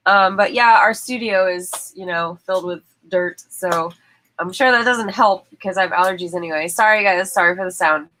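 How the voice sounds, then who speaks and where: A woman's voice: fairly low pitch, normal speed, bright, forceful tone. A woman hosting a livestream, stuffy and sniffling from allergies, chatting casually and laughing often as she talks into her phone. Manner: chatty, laughs often, apologetic about tech trouble. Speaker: livestream host. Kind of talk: casual chat. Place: indoor studio.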